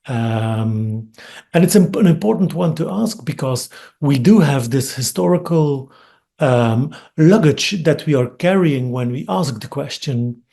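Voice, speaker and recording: A man from Belgium with a Flemish Dutch accent, giving a webinar lecture over a video call, thoughtful and hesitant with frequent pauses and repeated words. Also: mid-range voice, animated, gravelly, warm tone. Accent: Dutch-speaking Belgian. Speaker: academic speaker. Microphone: computer mic on video call.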